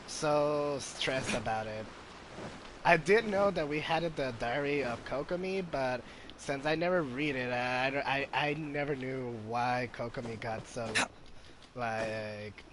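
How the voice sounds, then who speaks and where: A man giving casual livestream game commentary, halting and repeating his words as he thinks. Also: mid-range voice. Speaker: game streamer. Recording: noisy, audible background ambience.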